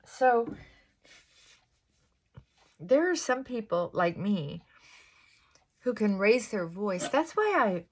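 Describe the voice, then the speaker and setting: A middle-aged woman vlogging casually while doing her makeup, reflective and confessional, with a fairly high voice she deliberately pulls down and soft whispered asides. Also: sing-song. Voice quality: fairly high-pitched voice she works to pull down. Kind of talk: casual get-ready-with-me chat.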